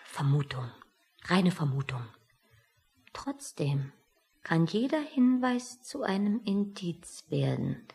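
An actress in a satirical radio play playing a composed, officious woman colleague with a measured, formal manner. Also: lowish voice, very animated, gravelly, a little mellow, in a large hall, exaggerated voice. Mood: matter-of-fact.

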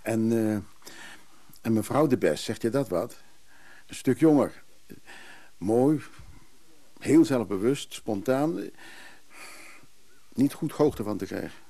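An actor playing a lonely, courteous, easily flustered antique dealer in a radio play, speaking hesitantly and politely. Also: male voice, medium pitch, lively intonation, takes it slowly, long pauses, mellow. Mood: confiding, smitten.

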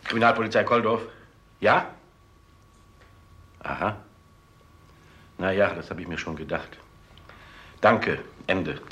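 An actor playing a seasoned, unruffled boss or police inspector in vintage German crime drama, with dry, casual authority. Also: male voice, deep voice, unhurried, long pauses, sharp tone, slightly rough, exaggerated voice. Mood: businesslike.

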